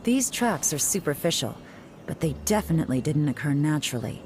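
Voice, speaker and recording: A woman's voice: sits low, big pitch swings, brisk, darkish tone, slight background noise. A woman voicing a composed, confident investigator and expert tracker in a video game, with assured, lightly teasing delivery. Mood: analytical, focused. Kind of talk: scripted video game dialogue. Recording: game music behind the voice.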